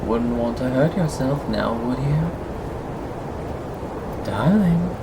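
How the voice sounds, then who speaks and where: A man acting an obsessive, sadistically tender lover in a scripted ASMR roleplay, taunting with breathy chuckles. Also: medium pitch, lively intonation, takes it slowly, very noisy. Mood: mocking, controlling.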